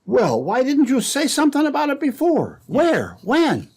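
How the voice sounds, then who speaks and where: Radio drama suspect, male actor: indignant young sled driver, high-pitched, very animated, defensive and flustered. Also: moderate pace, husky, exaggerated voice. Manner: flustered, protesting. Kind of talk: old-time radio drama acting.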